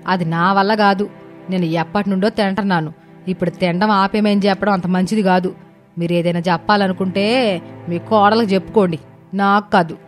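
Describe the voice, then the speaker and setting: A woman's voice: medium pitch, steady tone, brisk, faint music behind, lightly acted. Woman voicing stubborn, self-satisfied mother-in-law. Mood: stubborn refusal. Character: Sharada, stubborn, gloating middle-aged mother-in-law who loves eating. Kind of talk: cartoon moral-story voicing.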